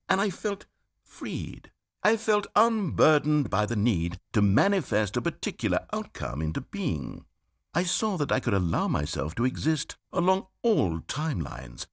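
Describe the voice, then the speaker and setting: An actor voicing a bitter, grandiose male narrator in a game, speaking in a measured, dramatic and theatrical way. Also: on the low side, slightly rough, heavily acted.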